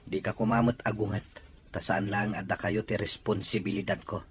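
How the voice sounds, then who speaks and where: A man's voice: fairly low pitch, little pitch movement, a little fast, lightly acted. Noisy recording, weary defensive husband played by a man. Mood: irritated. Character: hardworking, exasperated husband and father defending himself. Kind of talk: amateur audio drama dialogue.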